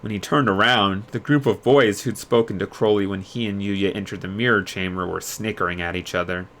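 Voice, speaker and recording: A man reading a story aloud as a streamer, in an expressive storybook narration style. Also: fairly low pitch, a little fast.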